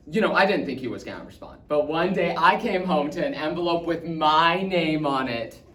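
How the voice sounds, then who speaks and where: A man's voice: mid-range voice, normal speed, natural voice. Man acting as an earnest young boy, echoey and distant. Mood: excited. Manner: earnest, theatrical, swinging from excited to hushed. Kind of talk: dramatic monologue in speech competition style. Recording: distant echoey room, faint noise.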